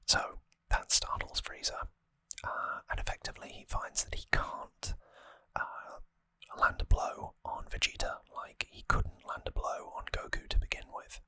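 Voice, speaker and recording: Asmr host whispering right up on the mic, crisp hissy bright sound, casually explaining stuff. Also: a little slow, no room sound. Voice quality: breathy unvoiced whisper. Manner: soft-spoken, informal, explanatory. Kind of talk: ASMR whispered story recap. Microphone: close microphone, dry.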